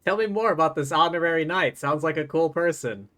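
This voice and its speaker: A man's voice: fairly high pitch, fairly quick, a bit bright. A man livestreaming a game, reading dialogue aloud and adding playful, wry, casual asides. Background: game music.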